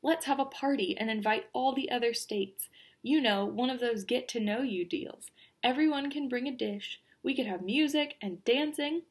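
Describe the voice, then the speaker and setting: A woman's voice: on the high side, lightly acted. A woman voicing a bored, restless male storybook character who complains and pleads in a moaning, earnest way. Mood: eager. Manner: moaning, drawn-out complaints turning to eager pleading. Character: bored, restless, glum male cartoon state, Kansas.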